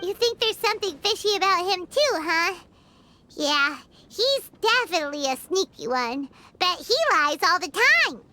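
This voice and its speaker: Squeaky, chirpy little fairy girl. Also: big pitch swings, a little slow, slight background noise, exaggerated voice. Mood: suspicious, grumbly. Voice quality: squeaky, childlike. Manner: cutesy, exclamatory, casually blunt. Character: chirpy, opinionated little floating fairy companion, childlike girl. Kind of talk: video game cartoon-style dialogue. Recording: loud children's music behind. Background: loud tinkling, playful music.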